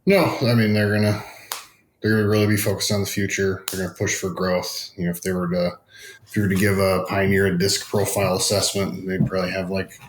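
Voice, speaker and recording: A man's voice: deep voice, flat delivery, fairly quick. A man on a podcast panel, explaining casually in a roomy recording. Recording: roomy echo with faint noise.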